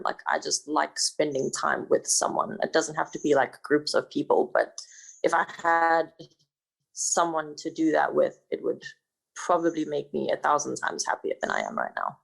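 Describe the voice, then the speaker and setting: A man's voice: a little high, vocal fry, poor audio. A man in his mid-twenties, a panel guest speaking candidly with many fillers. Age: 20s. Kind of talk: casual group discussion.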